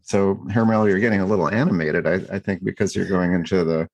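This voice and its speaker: A man co-hosting a podcast, talking through his thoughts in a reflective, halting way with many restarts and fillers. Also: sits low, steady tone, dull audio. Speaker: podcast co-host. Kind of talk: reflective casual discussion.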